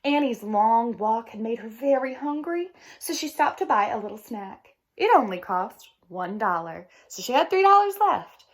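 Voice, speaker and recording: Lady teacher doing a kids read aloud, high pitched, breathy, talks right to the kids. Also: normal speed, few pauses. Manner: animated, addresses young listeners directly. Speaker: teacher reading aloud to children. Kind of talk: expressive picture-book read-aloud.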